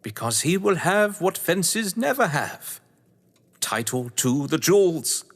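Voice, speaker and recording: An actor playing an urbane, cunning English crime novelist in an audio drama, pompous, condescending and wordy. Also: male voice, fairly high pitch, big pitch swings, takes it slowly, heavily acted. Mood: smug, persuasive. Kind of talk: theatrical audio drama acting. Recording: echoey hall-like reverb.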